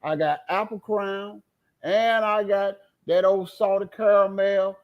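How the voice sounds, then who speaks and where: A man from North Carolina tells playful, boastful stories as a guest. His voice sits high. The sound is dull and lo-fi. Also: steady tone, slow. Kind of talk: casual storytelling.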